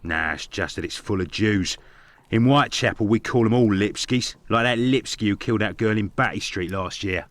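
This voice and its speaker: A man acting a Victorian barkeeper in a mystery game. He has a deep voice and a working-class London accent, talking bluntly and with gossipy relish in a dry recording. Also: moderate pace, no echo, lightly acted. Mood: gossipy, scornful. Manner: blunt, colloquial. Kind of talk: video game character dialogue.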